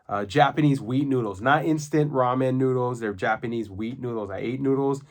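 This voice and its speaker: A man's voice: mid-range voice, normal speed. A man with a coarse, congested voice, explaining things in an earnest, plain-spoken way in a casual video diary. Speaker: vlogger documenting his own diet.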